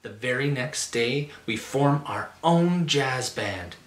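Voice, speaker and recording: A man's voice: mid-range voice, lively intonation, slow. Middle-aged man reading in an echoey room. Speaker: storybook reader. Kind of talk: expressive read-aloud of a children's picture book. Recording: roomy echo, faint music behind.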